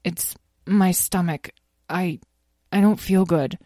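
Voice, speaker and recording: A woman's voice: lowish voice, takes it slowly, warm tone, no room sound, studio quality. A woman voicing a young male dragon in a children's story, sickly and stubborn, with a whiny, pleading, defensive manner. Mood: miserable, sheepish.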